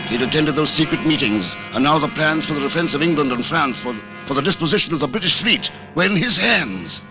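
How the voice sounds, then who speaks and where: A man's voice: mid-range voice, natural voice, low-quality recording. An actor playing a hunted man who narrates his spy adventure in a tense, dramatic old-time radio style. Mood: tense revelation. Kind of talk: 1940s radio acting, dramatic first-person narration. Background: music.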